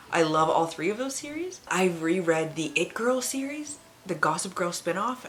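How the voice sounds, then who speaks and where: A woman's voice: low voice, a bit bright, slight background noise. A woman hosting a casual book vlog, talking informally and emphatically with plenty of swearing. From Canada. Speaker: book reviewer, video host.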